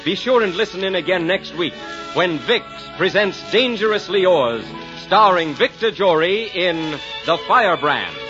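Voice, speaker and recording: A man's voice: high-pitched, expressive, measured pace. A man announcing on 1940s radio, with polished, emphatic salesmanship. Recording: muffled 1940s radio broadcast, faint music.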